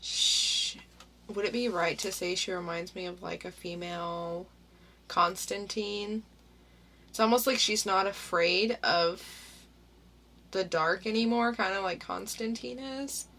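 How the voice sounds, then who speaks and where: Slow, bright-voiced young woman. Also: medium pitch. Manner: unhurried, with short agreeing replies. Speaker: podcast co-host reviewing comics. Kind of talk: casual chat.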